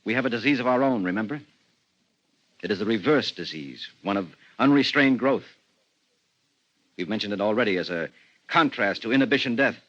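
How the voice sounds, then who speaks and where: Low-voiced secretive husband in old radio drama. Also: male voice, expressive, fairly quick, long pauses, fairly bright tone. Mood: grave, explaining. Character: Drake, secretive husband, grave, resolute and defensive. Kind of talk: 1950s radio drama acting. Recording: muffled 1950s radio recording.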